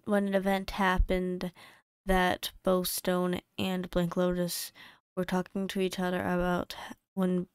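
A woman's voice: mid-range voice, darkish tone, clear, resonant tone, no room sound. A woman narrating her game playthrough in a casual voice-over from a home desk mic, rambling and correcting herself as she goes. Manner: rambling, self-correcting, quick asides. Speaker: YouTube creator.

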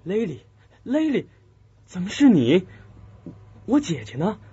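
An actor playing an earnest, bewildered, lovestruck young man in a radio drama, with a husky voice. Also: sits high, huge pitch swings, slow pace, warm tone, full character voice. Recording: dull muffled audio, faint music.

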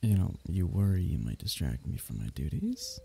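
A man acting as an affectionate fantasy court diviner for an ASMR roleplay. His voice is low and very dark and mellow, with gravelly fry at the edges. He speaks in courtly, teasing phrases, halting softly as he goes. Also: huge pitch swings, a little hiss or hum, heavily acted. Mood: teasing, fond. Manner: halting, teasing, soft chuckles, courtly wording.

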